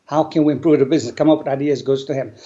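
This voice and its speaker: A man, an English speaker, telling his life story earnestly and deliberately as an interview guest. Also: mid-range voice, fairly even intonation, dark tone. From Lebanon. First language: not English. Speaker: guest, business owner. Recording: dull lo-fi sound.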